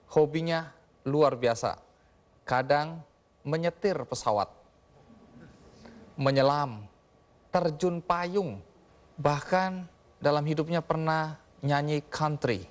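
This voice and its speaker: A middle-aged man hosting a television interview in a studio, asking direct, probing questions. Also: on the high side. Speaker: talk show host. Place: TV studio with live audience.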